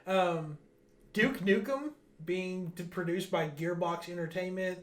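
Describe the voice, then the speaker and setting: A man's voice: high voice, a little slow, a bit bright. A man hosting a casual panel chat, running through news items in an informal way. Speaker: show host. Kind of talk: casual news roundup chat among friends.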